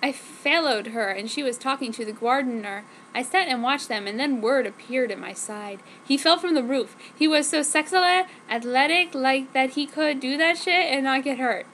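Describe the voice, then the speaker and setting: High-voiced woman reading theatrically over noise. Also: moderate pace, hardly any pauses, fairly bright tone, rough recording. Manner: theatrical, reads misspellings literally. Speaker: reader of fan fiction. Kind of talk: dramatic comic reading of fan fiction.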